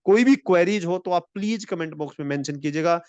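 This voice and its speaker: A man teaching an exam-prep lecture in a friendly way. He mixes in English and reads the English lines slowly. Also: a little high, darkish tone, smooth, no echo. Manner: friendly, calls viewers friends.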